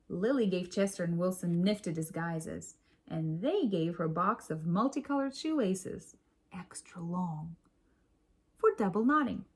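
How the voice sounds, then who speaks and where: A woman reading a children's story aloud in an expressive, measured storytelling manner. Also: medium pitch, husky. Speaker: storybook reader.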